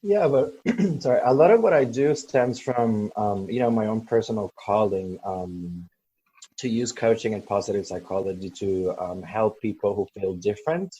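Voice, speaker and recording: Guy from el salvador talking about his coaching, pauses a lot with ums, kinda husky and lo-fi. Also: lowish voice, warm tone. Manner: thoughtful, many ums and restarts. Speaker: guest, coach. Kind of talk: podcast interview.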